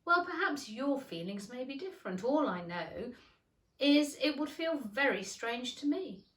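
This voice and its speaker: A woman acts out a polite, flustered little girl from a children's storybook. The voice is high and hesitant, with an earnest lilt that swoops up and down. The room echoes around it. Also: sing-song, measured pace, a bit bright, distant mic, heavily acted. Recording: roomy, echoing indoor sound.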